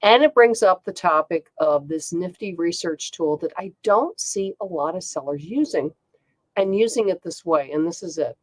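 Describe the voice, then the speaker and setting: A woman's voice: fairly low pitch, animated, normal speed, no room sound. A woman with a congested voice hosting a casual chat, talking in a chatty way with frequent ums. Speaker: host.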